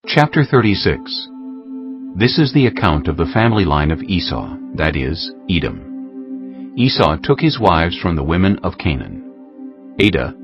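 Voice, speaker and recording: Male narrator, deep register, measured scripture reading, animated melody, slightly dull recording, clear musical backing. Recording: dull, muffled audio under music.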